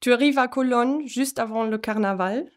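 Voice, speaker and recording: A woman's voice: on the high side, normal speed. A woman interviewing a footballer, reading out prepared questions. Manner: reads prepared questions, friendly laughs.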